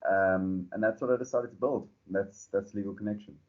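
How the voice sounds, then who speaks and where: South African man, founder being interviewed, lowish voice, rambling delivery, dull lo-fi sound. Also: brisk. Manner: relaxed, rambling with many fillers and restarts. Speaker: startup founder and CEO. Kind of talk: podcast interview.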